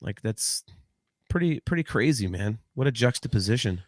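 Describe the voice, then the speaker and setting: A man in his late forties co-hosts a relaxed podcast, talking in a loose, rambling way with frequent restarts and casual swearing. His voice sits at an ordinary middle pitch. The sound is close and dry, with no room around it. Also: normal speed, no echo. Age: 40s. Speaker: podcast co-host.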